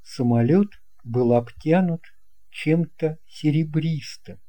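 A man narrating a children's tale as an audiobook reader, in a measured, expressive way. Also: a little high. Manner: slow, measured, expressive reading.

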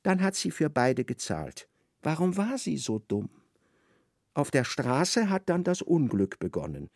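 A man's voice: a little high, sing-song, a little slow, a little mellow, clean studio sound, heavily acted. A man narrating a literary radio drama as a wry storyteller who echoes an aggrieved wife's thoughts. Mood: indignant, wry.

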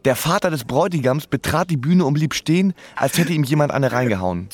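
A man's voice: a little high, lively intonation, fairly quick, no room sound. A man narrating his own story in a comic radio play with wry, literary phrasing. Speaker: first-person narrator.

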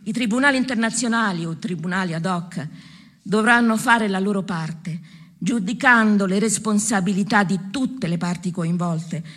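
A woman reading a prepared political statement aloud at a public event, in a measured, formal manner. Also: mid-range voice, fairly bright tone. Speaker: speaker at a public solidarity event.

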